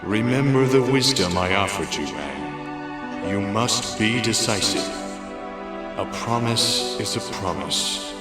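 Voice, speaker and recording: A grave, regretful old spirit voiced by a man gives counsel in solemn, measured tones. The low voice moves slowly through a wash of echo, with music underneath in an animated-style drama. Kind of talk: animated-style comic dub acting. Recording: echoing voice with music behind.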